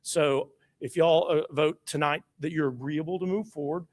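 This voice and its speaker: Loud-voiced chatty man lawyer. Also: a little high, fairly even intonation, moderate pace. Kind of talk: board meeting presentation. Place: school board meeting room.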